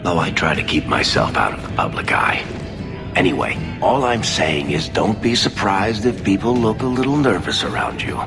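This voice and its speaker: An actor playing a wry, roguish tavern gossip in a video game, conversational and teasing. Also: male voice, low voice, very animated, moderate pace, creaky, exaggerated voice. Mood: knowing, confiding. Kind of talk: video game dialogue acting. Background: game music.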